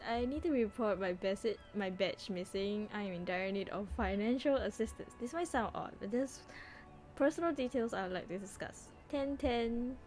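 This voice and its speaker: A woman's voice: medium pitch, darkish tone. A woman streaming a game, reading the dialogue aloud in an amused, mock-serious way.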